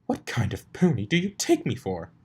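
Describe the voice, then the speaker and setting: A man's voice: mid-range voice, wildly swooping pitch, moderate pace, a little mellow, slightly rough, pitched above the performer's own voice, heavily acted. A man voicing a prim, dramatic female unicorn in a cartoon story, prissy and theatrical in manner. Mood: indignant.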